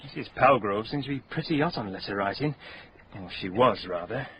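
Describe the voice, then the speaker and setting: An actor playing a blunt, cheeky young detective sergeant in a radio drama, speaking in a breezy, colloquial way. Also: male voice, fairly low pitch, lively intonation, fairly quick, a bit bright, a little hiss or hum, low-quality recording.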